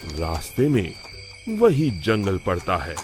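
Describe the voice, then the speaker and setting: A man's voice: deep voice, huge pitch swings, slow, raised voice, over loud music. A man narrating a scripted moral story for a cartoon as storyteller.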